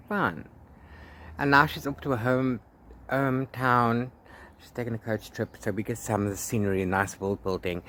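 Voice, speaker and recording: A man talking casually as a book vlogger, rambling in a halting way with repeated words and restarts. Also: lowish voice, fairly bright tone. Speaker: book vlogger, read-along co-host. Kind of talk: casual rambling video diary about a book. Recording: clear background noise, ambient sound.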